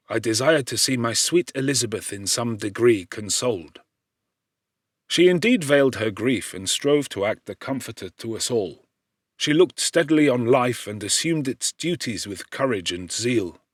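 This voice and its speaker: A man narrates classic literary fiction with a mid-range voice. His delivery is solemn and measured, like careful storytelling from a script. The recording is dry, with no room sound. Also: medium pitch, no room sound. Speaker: audiobook reader.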